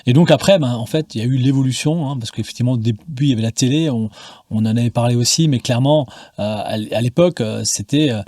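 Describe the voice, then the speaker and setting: A podcast host in his fifties with a mid-range voice, chatting casually and agreeing readily with his guest. He hesitates often and fills his pauses with plenty of fillers. The recording is dry and close, with no room echo. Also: mid-range voice, warm tone. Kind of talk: casual conversation.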